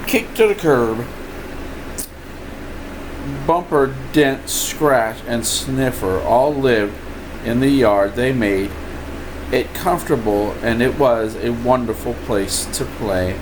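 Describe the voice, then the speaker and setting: An older man reads a children's storybook aloud at a slow pace. His delivery is informal and expressive, like a grandfather at home, and there is a clear air conditioning hum behind his voice. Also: medium pitch. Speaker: grandfather reading a picture book aloud. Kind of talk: storybook read-aloud with playful asides. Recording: steady room noise, air conditioning hum.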